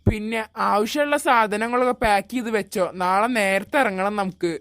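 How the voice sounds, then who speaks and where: A performer voicing a calm, practical father in a comedy cartoon, giving matter-of-fact instructions. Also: mid-range voice, brisk, clean tone, no echo, natural voice. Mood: practical, instructing. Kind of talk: Malayalam comedy cartoon dialogue.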